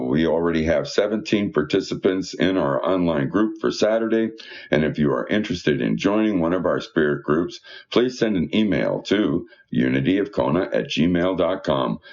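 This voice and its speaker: A man's voice: very deep voice, animated, normal speed, darkish tone, highs cut off. A man reading church newsletter announcements aloud in a folksy, conversational way with informal asides.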